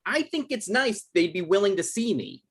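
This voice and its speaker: A man's voice: high-pitched, big pitch swings, moderate pace, fairly bright tone, slightly rough, full character voice. A man playing a naive, defensive novelist in a comedy table read. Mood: earnest.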